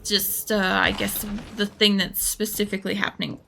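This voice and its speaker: A woman streams a game over clearly noisy background ambience. Her mid-pitched voice has a lot of vocal fry, and she thinks aloud in casual, blunt, sweary commentary. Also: flat delivery, moderate pace. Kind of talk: casual live gameplay commentary, rambling chat.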